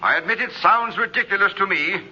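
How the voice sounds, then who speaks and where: An actor playing a pompous, blustering business boss with formal, emphatic delivery in a 1950s radio drama. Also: male voice, high voice, a little fast, pushed and bright, slightly rough, heavily acted. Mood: stern, grudging. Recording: muffled noisy old radio recording.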